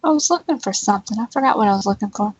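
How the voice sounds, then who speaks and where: A woman's voice: mid-range voice, expressive, racing, husky, no echo. A woman co-hosting a live show in loose, casual conversation. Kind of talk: casual chat.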